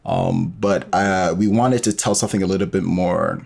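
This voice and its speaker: A man's voice: sits low, moderate pace, vocal fry, a little mellow. A man as a podcast guest, talking casually and rambling with many fillers. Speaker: podcast guest, audio drama creator. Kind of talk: casual interview conversation.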